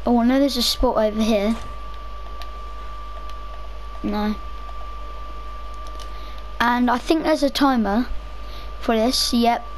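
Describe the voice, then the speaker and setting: A young woman chatting casually over her own gameplay, rambling to herself, her voice a little rough from a cough. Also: fairly high pitch, fairly even intonation, slow, pauses often, a little hiss or hum, low-quality recording. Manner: chatty, rambling, self-talking. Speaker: gaming video creator.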